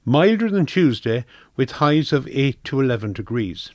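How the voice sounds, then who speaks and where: An Irish man presents a weather forecast for community radio. His voice has an average male pitch. He reads from a script, and the recording is dry with little room sound. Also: sing-song, normal speed, a little mellow, dry recording.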